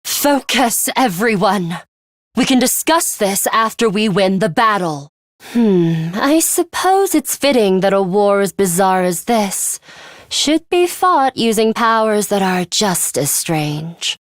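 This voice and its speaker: Casting: icy, bloodthirsty young woman warrior for a game, mid-pitched, composed and commanding, close dry sound. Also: big pitch swings, slow pace, no room sound. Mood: composed, serious. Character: cold, ruthless young female fighter with a bloodthirsty streak. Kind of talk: English video game voice acting.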